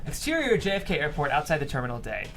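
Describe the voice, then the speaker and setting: A man narrating stage directions in an animated way at a script table read. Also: a little high, fast talker, soft background music. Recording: room recording with faint noise.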